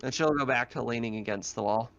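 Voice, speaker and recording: A man's voice: mid-range voice, fast, a bit bright, dull audio. Casual man running a tabletop game. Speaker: game master.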